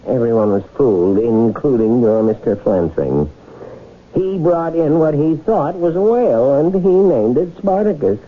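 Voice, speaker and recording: Courtly inventor hero, husky, lively pitch swings, genial, theatrical, old radio drama. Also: male voice, unhurried. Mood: confiding, amused. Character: breezy, courtly inventor hero, gently confiding. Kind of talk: 1970s radio drama acting. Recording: muffled, phone-like 1970s radio recording.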